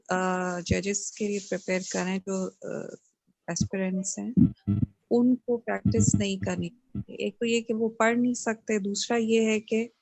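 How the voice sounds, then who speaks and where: A woman's voice: mid-range voice, vocal fry, a little mellow, dry recording, poor audio. A woman with a Pakistani accent, a law student giving her opinion in class and mixing in some English.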